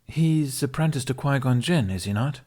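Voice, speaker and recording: A narrator, a man, plays a patient male teacher in a science fiction story, pitched up from his narrating voice. He talks politely and conversationally, with a wry, mildly exasperated edge. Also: animated, normal speed, a little mellow, close to an everyday voice. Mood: curious, businesslike. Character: tall, genial, wryly patient male mentor.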